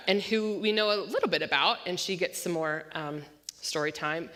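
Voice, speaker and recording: A woman pastor preaching a sermon with an unusually loud voice, talking fast and slipping in self-deprecating jokes. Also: medium pitch. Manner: self-deprecating joking asides; tends to speak fast. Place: church sanctuary. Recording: long church-hall reverb.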